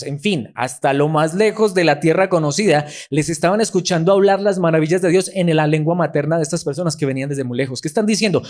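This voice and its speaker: A man's voice: on the high side, a little mellow. A male pastor preaching a sermon, acting out quoted voices and asking the congregation rhetorical questions.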